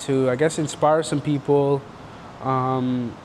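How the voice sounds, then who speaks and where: A man's voice: medium pitch, little pitch movement, takes it slowly, a little mellow, a little hiss or hum, poor audio. A young man from Canada, casually telling his life story in an interview, rambling and slangy with lots of filler words.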